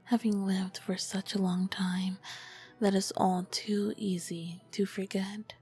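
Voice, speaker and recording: Casting: female performer as charming, dangerous royal vampire queen for ASMR roleplay; breathy whispered delivery, unhurried, courtly and flirtatious. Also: medium pitch, level tone, mellow, faint music behind, heavily acted. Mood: tender, admiring. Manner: slow, formal, flirtatious phrasing. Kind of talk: ASMR roleplay, scripted and intimate.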